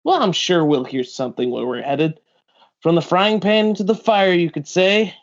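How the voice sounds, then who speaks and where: A man's voice: high-pitched, lively intonation, moderate pace, dull audio. Man reading a wry, seasoned pirate bounty hunter. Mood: wry, explanatory.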